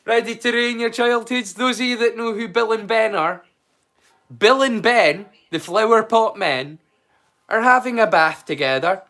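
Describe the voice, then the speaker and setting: A man's voice: sits high, a little slow, pushed and bright. A Scottish man chatting casually on a live stream as a storyteller, rambling and swearing freely.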